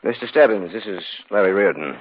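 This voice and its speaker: A man's voice: fairly low pitch, expressive, fairly quick, slight background noise, natural voice. An actor playing a sober, level-headed man narrating his own eerie tale in a 1950s radio drama. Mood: steady, concerned. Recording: muffled old radio recording.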